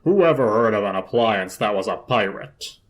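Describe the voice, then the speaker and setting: A man voicing a gruff, brusque, bossy old vacuum cleaner in a dramatic story reading. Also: fairly low pitch, expressive, normal speed, natural voice. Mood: indignant. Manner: brusque, indignant. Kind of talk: dramatic audiobook-style character reading.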